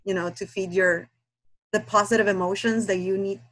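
A woman from Guatemala, a guest on a book podcast, speaking in a hesitant, earnest way with many pauses and fillers. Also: mid-range voice, steady tone, a bit bright, low-quality recording. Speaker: guest listener and aspiring romance author. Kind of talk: casual podcast chat.

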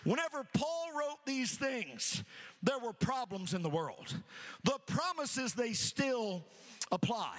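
A middle-aged man preaching an energetic sermon, repeating phrases rhythmically and calling on the congregation to answer. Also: extremely high pitch, takes it slowly, in a large hall. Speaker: pastor. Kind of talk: energetic sermon with call-and-response.

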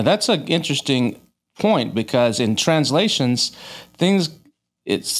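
A man hosts a podcast interview in an ordinary mid-pitched voice. He strings out long questions, rephrasing them several times before he finishes. His delivery is casual and conversational. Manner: asks long rambling questions, repeats himself. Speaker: podcast host.

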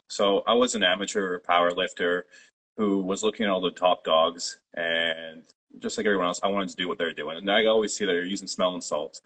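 Low husky-voiced man. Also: low-quality recording. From Canada. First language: Polish. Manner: steady, detailed storytelling. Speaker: guest, company founder. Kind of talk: interview.

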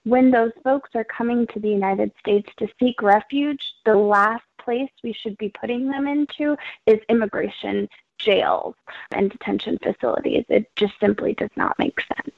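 An earnest woman speaking in a measured way, her voice low with gravelly fry. She comes through a tinny, dry phone line, with no room around her. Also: no room sound. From Ethiopia. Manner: earnest, measured, frequent ums. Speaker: immigrant rights organizer. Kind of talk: interview answers.

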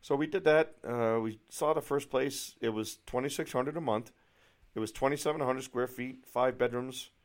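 A man's voice: mid-range voice, normal speed. A middle-aged American man vlogging in a casual, anecdotal way, rambling and exasperated, with some mild swearing. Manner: rambling, exasperated, mildly profane.

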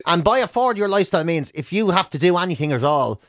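A man's voice: sits high, lively intonation, fast, dry recording, studio quality. An Irish man co-hosting a radio show with comic, casual banter, mocking and rambling in colourful slang.

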